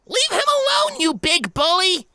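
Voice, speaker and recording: A man acts out a feisty little girl pony in a storybook reading. She is extremely high and squeaky, indignant and forceful, with big swings in pitch. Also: very animated, a little slow, creaky, no echo, clean studio sound, full character voice. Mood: indignant, defiant. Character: feisty, scrappy little filly ponies, indignant young girls.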